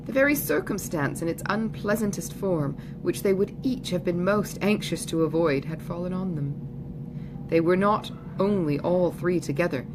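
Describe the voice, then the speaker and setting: A woman's voice: low-pitched, noisy background. A woman giving a scripted literary narration as an audiobook reader.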